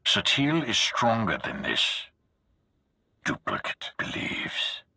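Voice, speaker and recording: An actor playing a grave, vengeful spirit in a video game, with a raspy, forceful voice and solemn, declamatory delivery. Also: male voice, mid-range voice, big pitch swings, slow, no room sound, studio quality, full character voice. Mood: grave warning. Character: grave, vengeful male spirit urging destruction of a tyrant. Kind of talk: video game character acting.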